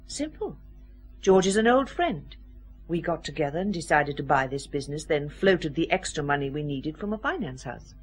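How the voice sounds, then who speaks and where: Husky, sardonic businesswoman. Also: low voice, lively intonation, moderate pace. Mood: matter-of-fact. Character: worldly, sardonic, flirtatious woman company director. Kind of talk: naturalistic radio drama acting.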